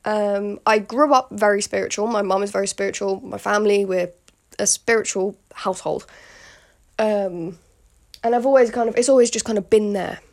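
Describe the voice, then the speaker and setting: British woman, late teens, podcast host; gravelly fry, rambling candid delivery with lots of fillers. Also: mid-range voice, steady tone, normal speed, darkish tone. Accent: British English. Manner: rambling and candid, with many fillers and frequent asides to the listener. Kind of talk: casual solo podcast monologue.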